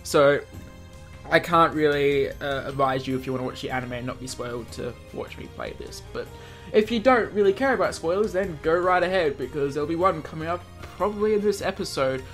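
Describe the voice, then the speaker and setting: Higher-pitched man doing gaming commentary over music. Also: lively intonation, fairly quick. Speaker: gaming video host. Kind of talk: let's play commentary, reading a visual novel aloud.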